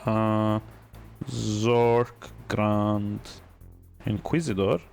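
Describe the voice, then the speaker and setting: A man's voice: on the low side, little pitch movement, drawn-out words, creaky, a little mellow, faint music behind. A man giving casual live game commentary, rambling and joking as he chats to his viewers. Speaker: game streamer.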